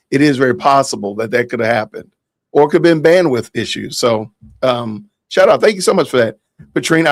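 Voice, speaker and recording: A man hosts a casual livestream podcast with a medium-pitched voice. He talks straight to his viewers and reads out their comments. The sound is close and dry. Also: no room sound. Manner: thanks and addresses viewers directly. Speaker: podcast host.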